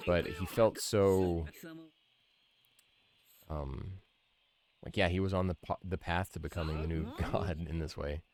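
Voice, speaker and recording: A man with a low-pitched voice talks casually as he streams a video game. He muses like an opinionated fan, thinking aloud in a conversational way. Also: fairly even intonation, unhurried, long pauses, a little mellow. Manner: musing, opinionated fan chat. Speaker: streamer, podcast host.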